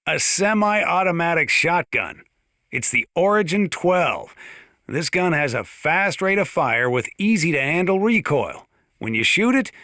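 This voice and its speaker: A man's voice: on the high side, pushed and bright, no room sound, clean studio sound, exaggerated voice. A man playing a folksy, gun-loving small-town sheriff in a video game, chatting boastfully in a conversational radio-style monologue. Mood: relaxed, boastful.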